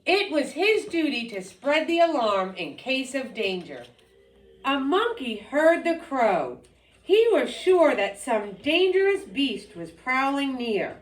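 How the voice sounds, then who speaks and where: A woman reading a folktale aloud to children, expressively, deliberately and with dramatic emphasis. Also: high-pitched, sing-song. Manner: deliberate, dramatic, with sound effects and repetition. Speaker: storyteller. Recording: roomy echo, distant microphone.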